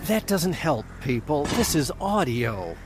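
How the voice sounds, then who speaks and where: A man's voice: on the high side, big pitch swings, takes it slowly. Man playing a sardonic aide, noisy recording. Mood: weary, dry. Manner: sarcastic, dry, put-upon. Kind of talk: comedic audio drama acting.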